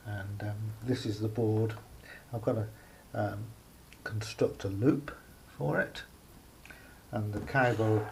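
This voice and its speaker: A man giving a casual, unscripted hands-on demonstration as a hobbyist, speaking haltingly with pauses in mid-phrase. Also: lowish voice, a little mellow. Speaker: hobbyist showing a homemade radio aerial project. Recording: faint background noise, amateur camera recording.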